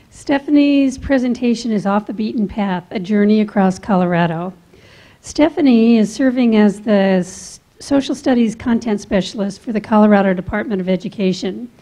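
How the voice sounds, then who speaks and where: Mellow-voiced woman emcee reading an introduction. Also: mid-range voice, low-quality recording. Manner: measured, formal reading. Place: conference room.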